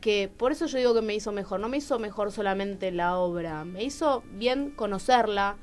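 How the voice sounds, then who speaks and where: A woman's voice: medium pitch, normal speed, faint music behind. An Argentine woman speaking casually and personally as a guest on a radio show. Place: radio studio.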